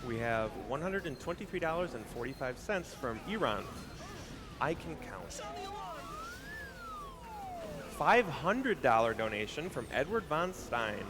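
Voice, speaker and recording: Male stream host, steady donation reads, mid voice, music underneath. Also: medium pitch, moderate pace, background noise. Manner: steady announcer reading names and amounts. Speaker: event host reading donations. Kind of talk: announcing donations on a live stream. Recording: game music behind voice.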